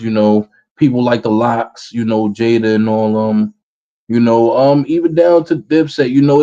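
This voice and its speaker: A man in his thirties with a New York accent, a rapper answering interview questions in a relaxed way. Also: mid-range voice, lo-fi sound. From Brooklyn, New York, USA. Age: 30s. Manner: relaxed, repeats "feel what I'm saying". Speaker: rapper guest.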